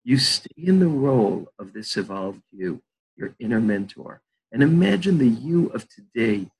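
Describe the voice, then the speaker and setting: An older man speaks as a therapist, gently guiding a visualization in an unhurried, soothing way. His voice is husky and rough with fry, and its pitch moves a great deal. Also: rough recording. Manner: slow soothing guided-meditation delivery. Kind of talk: guided visualization then conversation.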